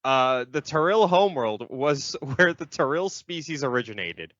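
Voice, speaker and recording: Animated man, bright dry podcast voice. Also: mid-range voice, normal speed, no echo. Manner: casual, hesitant, sweary. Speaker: podcast host presenting a segment. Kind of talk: casual banter about a fan wiki. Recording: dull lo-fi podcast audio.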